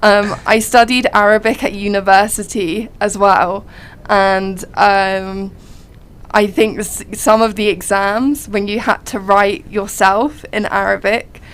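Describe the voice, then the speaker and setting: A young woman, a radio guest, chatting in a thoughtful, hesitant way with frequent pauses. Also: mid-range voice, fairly bright tone, smooth. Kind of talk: casual chat.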